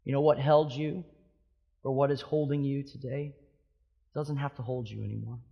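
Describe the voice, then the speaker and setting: A pastor in his thirties from Hawaii, preaching a sermon with impassioned swings between loud emphatic peaks and soft hushed stretches. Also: medium pitch, steady tone, moderate pace, vocal fry, muffled recording. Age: 30s.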